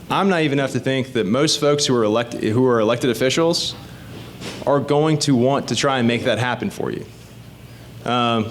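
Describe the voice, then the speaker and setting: A man in his thirties talks plainly and conversationally to a local group, explaining things step by step. His voice sits at an ordinary middle pitch, and a faint low rumble runs underneath. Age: 30s. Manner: conversational and explanatory, often asks the audience questions. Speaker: state legislative candidate. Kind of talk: informal talk to a community group.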